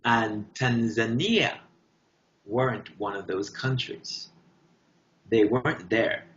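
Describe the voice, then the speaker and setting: Emphatic man, bright forceful voice, roomy sound. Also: fairly low pitch, a little hiss or hum. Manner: emphatic, declarative, short deliberate phrases. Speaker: guest artist. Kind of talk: interview answers, impassioned talk. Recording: dull, roomy echoing sound.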